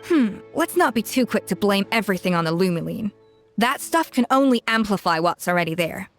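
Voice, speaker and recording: An actress playing a proud, brilliant chef who mentors bluntly and scolds with exasperation in a game cutscene. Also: female voice, medium pitch, animated, fairly quick, natural voice. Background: faint game music.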